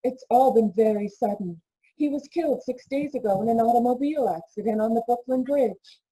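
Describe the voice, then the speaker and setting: Very dark, husky, somber woman as acted. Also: a little high, fairly quick, rough recording. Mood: somber, guarded. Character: somber, guarded woman answering a family's telephone. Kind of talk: old-time radio drama acting.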